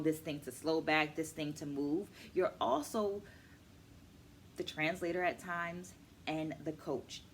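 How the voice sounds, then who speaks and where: A middle-aged woman hosting a book club vlog, explaining to camera with deliberate, emphatic phrasing. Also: low voice, slight background noise. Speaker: host of a virtual book club, educator. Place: small furnished room at home, indoors.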